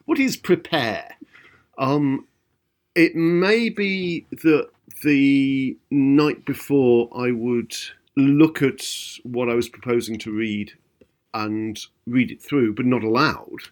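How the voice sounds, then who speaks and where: Emphatic, halting man chatting on a podcast. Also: medium pitch. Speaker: podcast co-host and writer. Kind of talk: casual chat.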